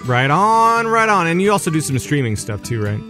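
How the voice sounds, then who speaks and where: Upbeat podcast host, slightly high voice. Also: male voice, very animated, a little fast, over music. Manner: upbeat, rambling with filler words. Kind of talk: casual podcast chat.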